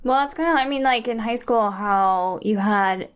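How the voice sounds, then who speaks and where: A woman's voice: on the high side, normal speed, clean tone, highs cut off. Joking American woman co-hosting a podcast. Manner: jokey, laughs often. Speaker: co-host. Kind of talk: casual podcast chat.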